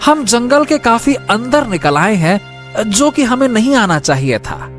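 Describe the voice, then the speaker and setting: Man acting a slow, hesitant royal soldier. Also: sits high, big pitch swings, fairly bright tone, faint music behind, full character voice. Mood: nervous, apologetic. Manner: halting, timid, deferential. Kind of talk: Hindi cartoon story acting.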